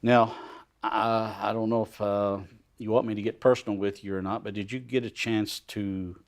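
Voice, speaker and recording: A man in his sixties hosting a TV studio interview, asking leading questions in a halting way with many pauses. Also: fairly low pitch, flat delivery, creaky. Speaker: host.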